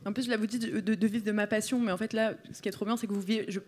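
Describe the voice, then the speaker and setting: A woman's voice: mid-range voice, fairly even intonation, racing, a little mellow. A woman interviewing a guest, enthusiastic and stumbling over repeated words as she asks questions.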